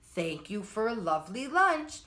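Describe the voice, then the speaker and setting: A woman's voice: medium pitch, big pitch swings, unhurried, sharp tone. A woman voicing an earnest, polite young child in a storybook, with simple exclamatory phrasing. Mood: polite, loving.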